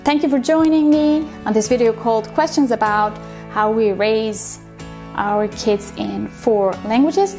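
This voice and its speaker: Higher-voiced woman from Ecuador, explaining. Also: unhurried, mellow, faint music behind. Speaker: host of a multilingual parenting channel. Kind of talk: explanatory question-and-answer to camera. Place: small indoor room, plain wall.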